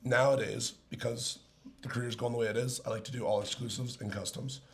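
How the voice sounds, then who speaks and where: A man from Ontario, Canada, a rapper guesting on a podcast, talking in a laid-back, joking way with a hoarse, worn-out voice. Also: mid-range voice, fairly even intonation, fairly quick. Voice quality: gravelly. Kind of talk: casual podcast chat.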